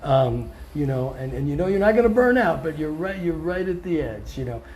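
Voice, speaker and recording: A touring blues singer and guitarist with a scratchy voice, answering interview questions in a relaxed, thoughtful way and often pausing on "um". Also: male voice, on the high side. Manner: relaxed and thoughtful, many ums, restarts now and then.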